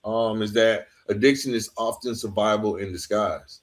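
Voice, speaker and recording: A man's voice: on the low side, fairly even intonation, moderate pace. Casual man hosting a podcast. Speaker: podcast host and interviewer.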